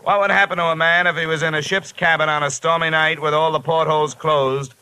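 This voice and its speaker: An actor playing a tough, wisecracking insurance investigator in an old radio detective drama, brusque, clipped and wry. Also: male voice, a little high, little pitch movement, a little fast, bright, forceful tone, clear, resonant tone. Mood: urgent, clipped. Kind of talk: 1950s radio detective acting and narration. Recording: noisy old-time radio recording.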